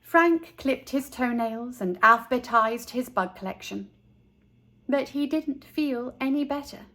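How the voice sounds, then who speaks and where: A woman's voice: fairly high pitch, a bit bright. A woman hosting storytime and reading a children's picture book aloud as narrator.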